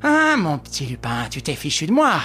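An audiobook narrator voicing a stubborn, excitable veteran police inspector who talks in agitated, insistent bursts. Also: medium pitch, normal speed, soft background music. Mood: triumphant.

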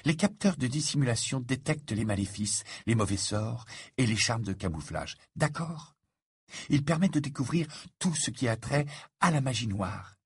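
A man voicing a bossy, exasperated, sharp-witted teenage schoolgirl in a storybook, with a cool lecturing manner. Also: mid-range voice, expressive, moderate pace, slightly rough, no echo, studio quality.

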